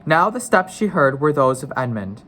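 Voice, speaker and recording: A man's voice: on the high side, big pitch swings, normal speed, fairly bright tone, slight background noise. Man reading a storybook aloud. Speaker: story reader. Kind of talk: read-aloud storybook narration.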